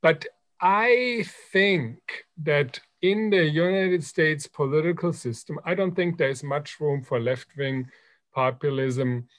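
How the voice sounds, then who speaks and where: A man in his sixties with a German accent speaks in a fairly high voice on an online academic panel. He explains his points with emphasis, unscripted, into a computer mic in a home office. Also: takes it slowly. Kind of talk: unscripted panel discussion over video call. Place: book-lined home office, indoors. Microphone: computer mic on a video call.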